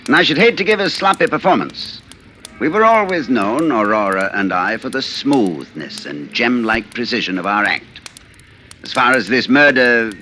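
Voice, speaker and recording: A man's voice: mid-range voice, bright, forceful tone, a little hiss or hum. An actor in a 1940s radio drama playing an urbane, talkative male stage mentalist who speaks in a suave, theatrical, mannered way. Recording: old radio recording, faint music.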